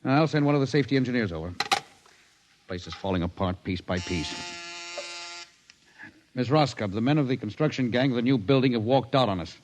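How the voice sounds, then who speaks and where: A man's voice: medium pitch, animated, a little fast, pauses often, noisy background, close to an everyday voice. An actor playing a curt, impatient male boss in a 1950s radio drama. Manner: curt and brusque. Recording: dull old radio broadcast.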